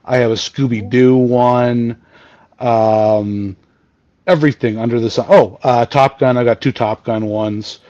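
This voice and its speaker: Booming Canadian man in his forties. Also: steady tone, unhurried, mellow, no echo, lo-fi sound. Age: 40s. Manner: rambling, many fillers and restarts. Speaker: podcast guest. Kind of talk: casual storytelling chat. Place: home. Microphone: remote video call.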